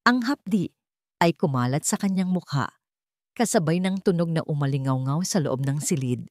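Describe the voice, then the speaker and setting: A woman's voice: sits low, lively intonation, moderate pace, darkish tone, no echo, studio quality. A woman reading a dramatic story as a scripted narration.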